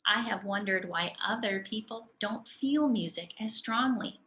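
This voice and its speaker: Storybook role: music-loving young girl, read by an adult woman, eager, curious, earnest, bright everyday voice. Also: mid-range voice, unhurried, slight background noise. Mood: earnest, confiding.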